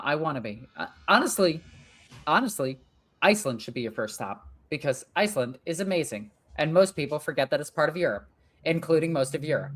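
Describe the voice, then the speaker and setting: A young adult gaming streamer chatting casually and rambling while playing a strategy game, swearing now and then. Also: mid-range voice.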